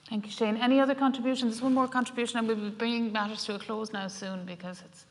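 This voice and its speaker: A woman chairing a public meeting, briskly steering the speakers. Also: a little high, steady tone. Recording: long hall reverb.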